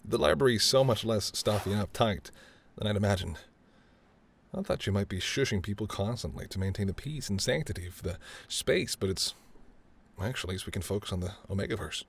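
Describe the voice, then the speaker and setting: A man's voice: on the low side, brisk, slight background noise. A man playing a charming, teasing mafia boss who is smitten, speaking intimately and playfully to the listener in a roleplay audio drama. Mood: flirty, playful. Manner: smooth-talking, playful, flirtatious, addresses listener as doll. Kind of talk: intimate second-person roleplay audio acting.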